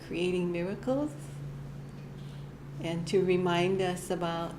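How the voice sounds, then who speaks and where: A woman giving a short inspirational church message, gentle and halting, often laughing at herself. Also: fairly low pitch. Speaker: church speaker. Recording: echoey hall with faint room noise.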